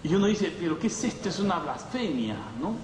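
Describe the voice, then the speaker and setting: High-voiced male preacher in an echoey hall. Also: very animated, brisk. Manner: didactic, with rhetorical questions and frequent check-in tags. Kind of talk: conversational sermon to a congregation. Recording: echoey hall, distant mic, faint noise.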